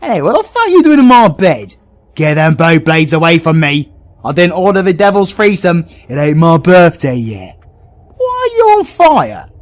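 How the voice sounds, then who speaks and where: A man's voice: a little high, huge pitch swings, normal speed. A British man playing a foul-mouthed, sarcastic villain cast as a grumpy Scrooge in a comedic audio roleplay, ranting theatrically. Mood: exasperated.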